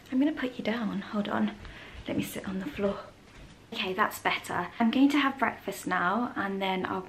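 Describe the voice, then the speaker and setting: A woman's voice: mid-range voice, darkish tone, slight background noise. A woman chatting casually and affectionately to her vlog audience. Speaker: vlogger, host of a personal channel.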